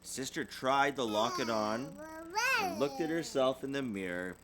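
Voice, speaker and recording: A man's voice: mid-range voice, expressive, a little slow, noisy background. A man reading a children's storybook aloud as a parent would, expressively and with small stumbles. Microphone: USB desktop microphone.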